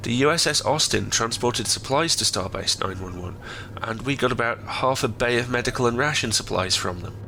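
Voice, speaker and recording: Man acting a gravelly, bright-voiced young officer. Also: mid-range voice, normal speed, a little hiss or hum. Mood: questioning. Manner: clipped, questioning, military. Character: dutiful, skeptical male starship officer, an ensign. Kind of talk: science fiction audio drama acting.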